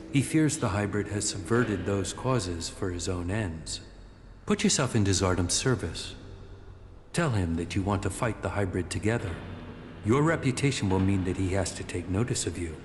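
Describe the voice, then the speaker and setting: An actor playing a calm, calculating sci-fi faction briefer in a video game, measured and authoritative, heard with long reverb. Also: male voice, on the low side, natural voice. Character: calm, calculating alien-faction briefer giving covert orders. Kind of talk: video game cutscene acting. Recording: long hall reverb, faint ambient noise.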